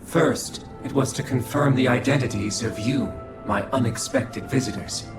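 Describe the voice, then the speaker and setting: A man voicing a coldly logical, formal alien scholar in a video game cutscene, speaking in a measured, clinical way. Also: mid-range voice, natural voice. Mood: calm, formal. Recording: echoing voice over game music.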